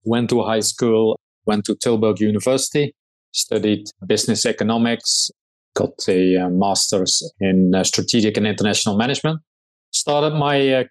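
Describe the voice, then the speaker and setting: Dutch-accented man, low measured voice. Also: rough recording. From Netherlands. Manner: measured, with frequent hesitations and restarts. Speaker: startup founder and CEO. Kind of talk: conversational interview answers.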